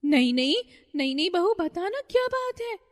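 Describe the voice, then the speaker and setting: A woman's voice: very animated, moderate pace, big-room sound. A woman voicing a fretful older mother-in-law who panics easily, in a cartoon story. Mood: pleading. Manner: shrill, panicky.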